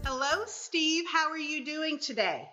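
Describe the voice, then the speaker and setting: A middle-aged woman from the Caribbean hosting a podcast interview, speaking in a gentle, measured way. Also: high-pitched, animated, muffled recording, soft background music. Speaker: podcast host.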